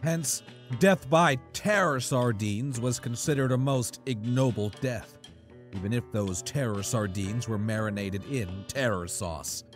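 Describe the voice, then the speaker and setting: A man's voice: medium pitch, unhurried, soft background music. A man narrating a scripted comic horror story in a dramatic, profane storytelling manner.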